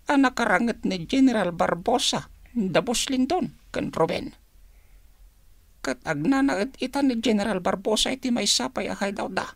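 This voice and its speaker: A woman's voice: mid-range voice, lively intonation, moderate pace, no room sound. A woman with a Filipino accent playing an anxious informant in a radio drama. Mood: urgent, secretive.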